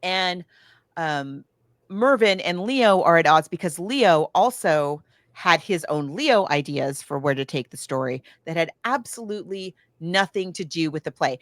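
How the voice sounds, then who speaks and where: A woman's voice: lowish voice, animated, unhurried, dry recording, clean studio sound. An American woman co-hosting a podcast, chatting casually as she retells a film and slips into acting out lines. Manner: chatty, acts out quoted lines. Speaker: podcast co-host.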